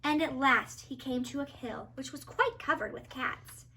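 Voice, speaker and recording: Woman, storybook narrator, higher pitch, bright tone, expressive, dramatic emphasis. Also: moderate pace, poor audio. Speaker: teacher reading as narrator.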